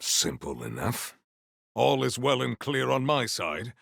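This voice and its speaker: A man's voice: medium pitch, big pitch swings, creaky, a bit bright, dry recording, clean studio sound, full character voice. A man voicing a stern, formal warrior in a video game, proud and battle-hungry, with grave and eloquent diction. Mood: grave, composed. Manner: formal, measured, ceremonious diction, few contractions. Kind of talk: video game character voice lines.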